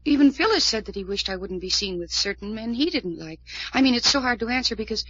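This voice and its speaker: Young female for vintage radio mystery: demure, hesitant, protesting, husky edge, dull old broadcast sound. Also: a little high, expressive, quick pace, fairly bright tone, no echo. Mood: earnest, flustered. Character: earnest, reluctant young woman under questioning. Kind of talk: 1940s radio drama acting.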